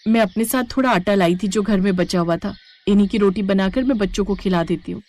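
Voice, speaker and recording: A woman's voice: medium pitch, fairly quick, a little mellow, natural voice. A woman voicing a brave, caring and fiercely protective village wife and mother in a moral story, firm and feisty. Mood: reassuring, determined.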